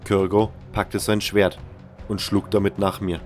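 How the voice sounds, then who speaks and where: Lowish male narrator, sober measured fantasy storytelling, first person, bit of music behind. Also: level tone. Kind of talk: first-person scripted narration of fan fantasy audio drama.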